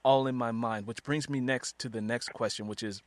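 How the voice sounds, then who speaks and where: A man's voice: medium pitch, fairly even intonation, moderate pace, dry recording. A man interviewing as a host and fellow author, chatty and conversational, sharing his own experience as he leads into questions. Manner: chatty, illustrates questions with personal anecdotes.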